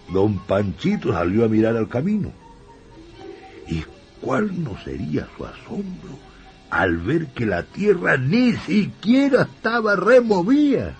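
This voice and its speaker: An actor playing a folksy Chilean country storyteller, telling a spooky radio play tale in a colloquial, suspenseful way. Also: male voice, fairly low pitch, darkish tone, exaggerated voice. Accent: rural Chilean. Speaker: radio drama narrator.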